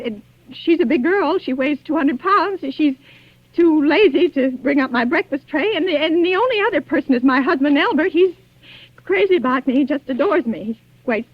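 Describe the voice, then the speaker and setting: An actress playing a nervous, demanding, self-pitying bedridden wife in an old radio suspense drama, agitated and stammering. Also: female voice, high-pitched, dry recording. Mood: anxious, flustered. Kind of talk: 1940s radio suspense acting. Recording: dull vintage radio recording.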